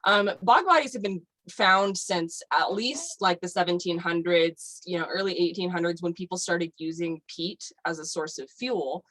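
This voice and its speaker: A woman explains a subject on a podcast, slightly low in pitch. She rambles with plenty of fillers and restarts. Her voice sits dry and close with no room around it. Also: normal speed, hardly any pauses, a bit bright, no echo, poor audio. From United States. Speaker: guest. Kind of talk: casual podcast chat.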